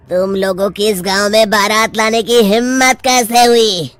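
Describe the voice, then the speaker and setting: A woman voicing a menacing, vengeful witch ghost in a cartoon story, gloating as she threatens. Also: fairly high pitch. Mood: gloating menace. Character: menacing vengeful female witch ghost haunting village weddings. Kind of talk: Hindi cartoon story voice acting.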